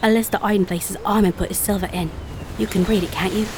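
A woman's voice: medium pitch, steady tone, fast talker, background noise, lightly acted. An actress playing a practical young girl who passes as a cabin boy in an audio drama, matter-of-fact in manner.

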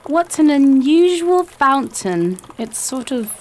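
A young woman acting a timid guest in a radio drama. Her voice sits high and her speech is slow and nervous. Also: very animated, a little mellow, slight background noise. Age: young adult.

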